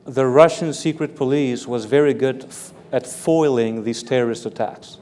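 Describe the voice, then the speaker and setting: A man from Belgium, a native French speaker, answering interview questions as an author and professor, careful and measured, repeating words as he explains. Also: mid-range voice, mellow. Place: large hall with live audience. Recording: long hall reverb with faint room noise.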